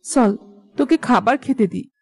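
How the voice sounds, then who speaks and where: A woman playing a gentle, wistful mother in a poor village family in a moral-story cartoon, speaking soothingly. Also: a little high, a little slow, breathy edge, no echo. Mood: wistful, consoling.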